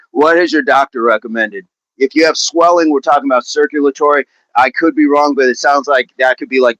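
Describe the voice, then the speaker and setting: A man's voice: mid-range voice, fairly bright tone, dry recording, dull audio. A man hosting a fitness livestream, chatting casually and answering viewer comments in an upbeat, laughing, repetitive way. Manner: chatty, upbeat, laughs often, repeats phrases.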